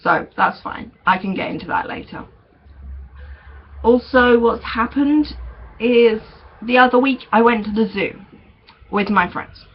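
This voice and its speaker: Young man, vlogger, unhurried and hesitant, gravelly edge, dull lo-fi recording. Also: very high-pitched. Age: young adult. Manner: chatty, hesitant, many ums and small laughs. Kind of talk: casual vlog update. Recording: dull lo-fi recording with faint noise.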